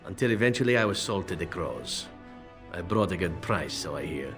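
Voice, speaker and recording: An actor playing a roguish elven assassin in a fantasy game, flippant and charming, telling things in a wry, nonchalant manner. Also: male voice, low-pitched, lively intonation, normal speed, music in the background. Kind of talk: dramatic game dialogue.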